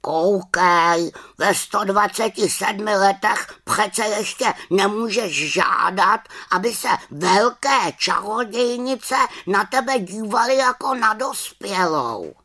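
A woman's voice: mid-range voice, expressive, hardly any pauses, pushed and bright, pitched above the performer's own voice. A woman voicing a wise, nagging male raven in a children's storybook, scolding and squawking as it talks. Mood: lecturing. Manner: scolding, exclaiming, squawking delivery.

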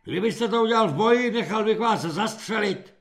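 A man voicing a bullying young army corporal who yells orders and scorn at his recruits. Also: very high-pitched, animated, pitched above the performer's own voice. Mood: angry shouting. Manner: yelling, scornful, barking orders.

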